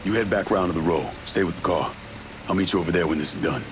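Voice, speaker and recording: A young adult American actor playing a hard, confident war veteran in a dramatic video game. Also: male voice, low-pitched, fast, background noise, natural voice. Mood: cool, determined. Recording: music behind the voice.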